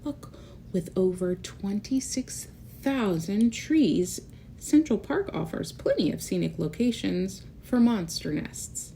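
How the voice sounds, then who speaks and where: Female children's read-aloud host: very lively pitch, soft-edged mellow tone, expressive storytelling with chatty asides, slight rumbling noise. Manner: expressive, explains and points things out for children.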